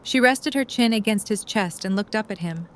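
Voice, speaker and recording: A female narrator reads scripted story passages for an audio drama. Her pitch is average, and there is faint noise behind the voice. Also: normal speed.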